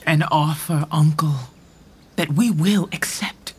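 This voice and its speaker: A defiant banished duke presses his claim in firm, husky Shakespearean verse. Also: mid-range voice, takes it slowly. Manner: firm, persuasive verse delivery. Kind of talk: Shakespearean stage acting for radio.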